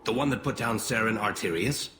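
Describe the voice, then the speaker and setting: An actor playing a proud, eager alien gun shop keeper in a video game. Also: male voice, lowish voice, fairly quick, noisy background, close to an everyday voice. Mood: awed, eager. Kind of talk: video game character acting.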